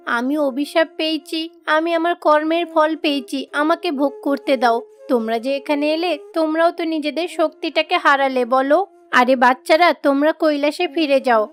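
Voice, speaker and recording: A woman voicing a gentle, suffering mother goddess in a cartoon, speaking softly and sorrowfully in a motherly way. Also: high voice, natural voice.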